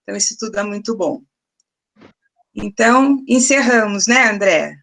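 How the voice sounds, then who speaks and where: Woman, mid pitch, friendly, seminar host, video call. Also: animated, moderate pace, gravelly, fairly bright tone, low-quality recording. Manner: friendly, tag questions. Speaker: seminar mediator. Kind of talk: closing remarks on a video call.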